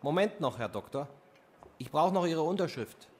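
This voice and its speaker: An actor playing a polite, brisk postman in a radio drama. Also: a little high, animated, darkish tone, lightly acted. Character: polite, brisk male postman delivering registered mail.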